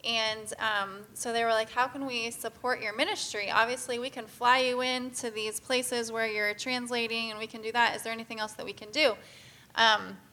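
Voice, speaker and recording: A woman's voice: fairly high pitch, fairly even intonation, normal speed, pushed and bright. A woman speaking casually and earnestly to a church group about mission work, with frequent "um"s. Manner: casual, filler-heavy, earnest. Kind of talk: church presentation talk.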